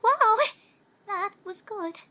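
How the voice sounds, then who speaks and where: A woman's voice: extremely high pitch, expressive, slow, sharp tone, exaggerated voice. A woman voicing a refined, squeamish female pony with a theatrical, prim delivery in a cartoon fan fiction story. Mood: shaken, impressed. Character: refined, squeamish female ponies listening to ghost tales. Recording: faint background music.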